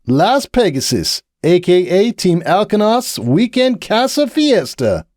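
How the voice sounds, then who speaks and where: A man's voice: high voice, very animated, slow pace, darkish tone, dry recording, studio quality, voice pushed up, heavily acted. A man voicing a serious young stallion who captains a sports team and lectures firmly. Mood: cheerful.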